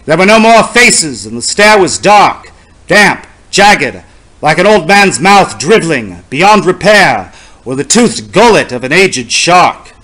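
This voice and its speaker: A man giving a dramatic poetry reading in a solemn, deliberate, theatrical and incantatory manner. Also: a little high, big pitch swings, sharp tone, low-quality recording. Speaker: poetry reader.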